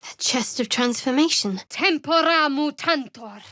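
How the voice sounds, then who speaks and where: A guarded, sardonic young cleric, higher-pitched. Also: female voice, expressive, bright, forceful tone, no room sound, studio quality, exaggerated voice. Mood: dry, wry, then forceful incantation. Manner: measured, wry, guarded. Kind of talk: video game voice acting.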